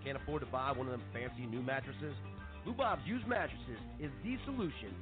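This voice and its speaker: A man playing a folksy announcer pitching wares in a parody local radio commercial. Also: lively intonation, fairly quick, lightly acted. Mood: salesy. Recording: tinny lo-fi over music.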